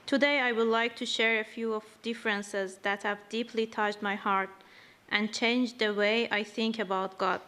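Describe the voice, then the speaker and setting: A woman not speaking her first language gives a slow, earnest testimony at church, reading carefully from prepared notes. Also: mid-range voice, fairly bright tone. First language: not English. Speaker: church member giving a testimony.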